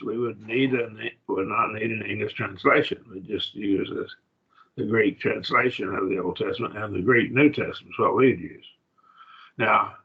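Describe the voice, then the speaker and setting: A man teaching a college-level Bible study class in a plain, halting lecture with restarts and asides. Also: medium pitch, narrow, tinny audio.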